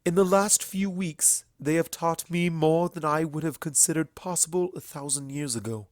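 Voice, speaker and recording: A man voicing an ancient female pony princess in a storybook reading, speaking formally and with measured, earnest eloquence. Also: a little high, no echo, lightly acted. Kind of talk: fan fiction audiobook character acting.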